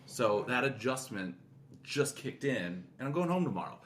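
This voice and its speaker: A man's voice: medium pitch, big pitch swings, moderate pace, fairly bright tone, a little hiss or hum. A middle-aged man co-hosting a podcast in casual, conversational style. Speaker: podcast co-host.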